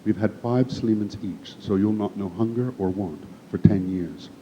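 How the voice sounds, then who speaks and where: A man's voice: normal speed, close to an everyday voice. A man reading as an aloof, dismissive god. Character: proud aloof male god, cool and dismissive. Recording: muffled with faint rumble.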